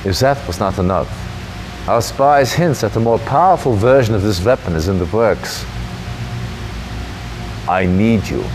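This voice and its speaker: An actor playing a grave, decisive middle-aged army general in a video game briefing, measured and authoritative. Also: male voice, lowish voice, lively intonation, music in the background, low-quality recording. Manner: measured, authoritative, deliberate phrasing. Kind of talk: video game cutscene acting, military briefing.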